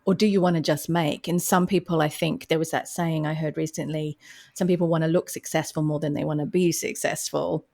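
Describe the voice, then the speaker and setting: A woman's voice: low-pitched, steady tone, fairly quick, vocal fry, darkish tone. A woman hosting a podcast interview in a conversational style, affirming and encouraging as she talks. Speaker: podcast host.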